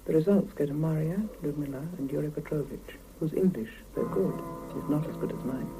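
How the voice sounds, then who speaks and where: A woman's voice: low voice, brisk, over music, rough recording. An actress playing a weary, reflective Soviet scientist and mother narrating her inner thoughts in a radio drama. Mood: matter-of-fact. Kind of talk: 1970s radio drama interior monologue. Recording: hissy 1975 mono radio cassette recording.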